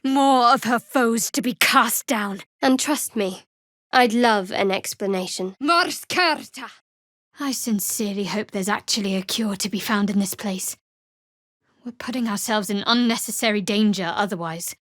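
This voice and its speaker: A woman playing a guarded, devout young cleric of a dark goddess in a fantasy video game, speaking in a measured, dry, slightly wry way. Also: medium pitch, no echo, lightly acted. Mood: incanting. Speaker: video game character voice actor. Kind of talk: scripted fantasy game dialogue.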